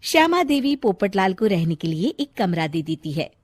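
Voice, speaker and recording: A woman's voice: medium pitch, sing-song, moderate pace, very noisy. A woman narrating a scripted comic story as a storyteller.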